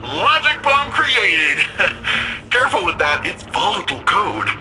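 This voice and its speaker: A man's voice: high-pitched, big pitch swings, slow pace, bright, forceful tone, slight background noise, exaggerated voice, poor audio. A man playing a friendly, wry, tech-savvy ally in a sci-fi video game, chatty and quippy. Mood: gleeful. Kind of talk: video game character dialogue.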